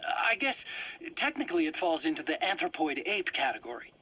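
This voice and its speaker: A man's voice: on the high side, very animated, brisk, slightly rough. A man playing a defensive, anxious scientist in a game drama, explaining hesitantly on a dull cassette recording. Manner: explanatory, hesitant when pressed. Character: defensive, anxious male scientist and weapons engineer.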